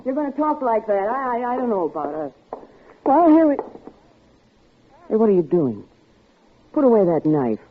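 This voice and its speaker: Chatty, brassy vaudeville dancer, old radio. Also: female voice, fairly high pitch, very animated, normal speed, long pauses, slight background noise. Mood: alarmed. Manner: chatty, slangy, stammering. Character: brassy young woman vaudeville acrobatic dancer. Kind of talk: 1950s radio drama acting. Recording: old radio broadcast, tinny band.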